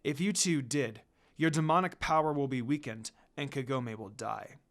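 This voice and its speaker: A man's voice: mid-range voice, normal speed, voice pushed down, lightly acted. Undead priestess for a fantasy story reading, played by a man: stern, ominous, full of cold loathing. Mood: stern warning. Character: cold undead priestess woman, hateful and warning.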